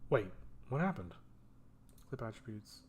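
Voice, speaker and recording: Man muttering to himself, lively pitch swings. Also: a little slow, a crowd in the background. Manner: muttering, self-questioning, casually profane. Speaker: animator editing his own show. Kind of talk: casual thinking-aloud work commentary.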